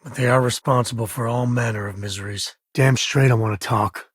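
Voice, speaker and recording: Man acting husky, wry space frontier ranger. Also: medium pitch, steady tone, brisk, no echo, clean studio sound, natural voice. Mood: easygoing, resolute. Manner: folksy, wry, drawling informal speech. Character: laid-back, sardonic male space frontier ranger and devoted father. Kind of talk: video game companion dialogue.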